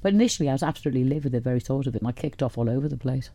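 A woman's voice: rumbling low, lively intonation, very fast, mellow. A woman from Wales in her later years, a radio guest chatting casually about her life, rambling with lots of restarts. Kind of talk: casual interview chat.

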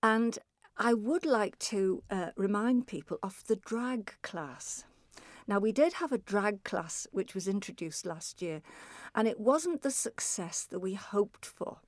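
A woman with a Manx accent, a festival chairperson, briskly and clearly explaining new classes with touches of humour. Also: mid-range voice, expressive, no echo, studio quality. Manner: brisk, informative, lightly humorous. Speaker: chairperson of a music, speech and drama festival. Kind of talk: interview answers.

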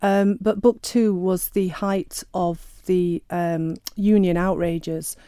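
Warm-voiced woman, chatty author. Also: fairly low pitch, steady tone, takes it slowly, no echo, studio quality. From Sheffield, England. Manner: chatty, hesitant with many ums and restarts. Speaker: author guest. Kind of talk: radio interview.

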